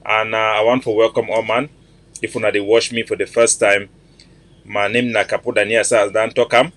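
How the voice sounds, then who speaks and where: Man, 40s, cameroonian pidgin english accent, low-pitched, earnest, repetitive, webcam livestream host. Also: level tone, a little fast, a bit bright. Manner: earnest, repetitive, emphatic, greets viewers by name. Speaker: online show host. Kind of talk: casual livestream address.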